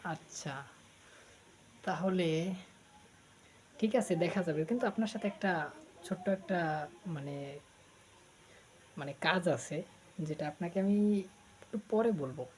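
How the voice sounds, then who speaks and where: A man's voice: fairly high pitch, slow pace, long pauses, darkish tone, slight background noise. A man with a Bangladeshi accent making a teasing, flirtatious casual prank phone call, talking coaxingly. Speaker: prank caller.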